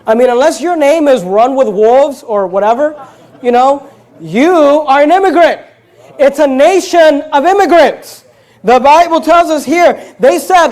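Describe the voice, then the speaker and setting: A man's voice: extremely high pitch, a little mellow. A man with an American accent preaching a Bible sermon in an emphatic, exhorting manner, telling listeners to look and take notice. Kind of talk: Bible sermon with verse reading.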